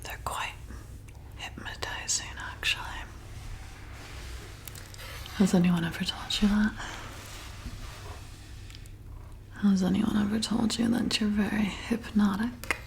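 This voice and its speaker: A woman playing a flirty, slyly predatory vampire bartender in an intimate, coaxing, breathy whispered ASMR roleplay. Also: medium pitch, fairly even intonation, close to an everyday voice. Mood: seductive. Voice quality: breathy whisper with creaky edges. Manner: slow, soothing, intimate, coaxing.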